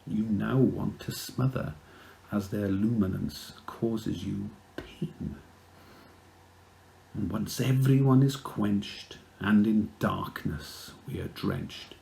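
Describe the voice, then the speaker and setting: A man with a Welsh accent reciting poetry in a deliberate, theatrical manner. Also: lowish voice, creaky, mellow, a little hiss or hum, rough recording. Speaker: poet.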